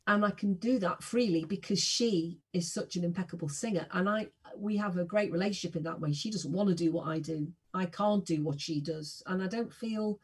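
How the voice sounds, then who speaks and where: A woman's voice: on the low side, a little fast, hardly any pauses, slightly rough. A woman of sixty, a singer and podcast guest, chatting enthusiastically and with self-deprecating humour. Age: 60s. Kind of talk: casual interview chat.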